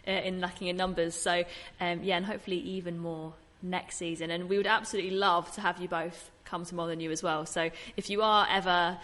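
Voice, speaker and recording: Encouraging English woman interviewer, low voice. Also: fairly even intonation, fairly quick, few pauses, fairly bright tone. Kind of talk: casual interview.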